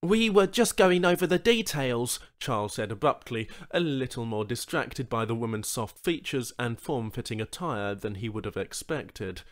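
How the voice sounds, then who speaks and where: A man's voice: on the low side, fairly bright tone. A man reading a scripted story as an audiobook narrator.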